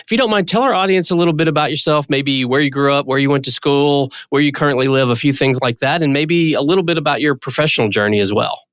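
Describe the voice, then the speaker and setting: A man's voice: on the high side, fast talker, few pauses, a bit bright, no room sound, clean studio sound. A man hosting an interview podcast, reading a polished intro and then asking his guests questions in an upbeat way. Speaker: podcast host.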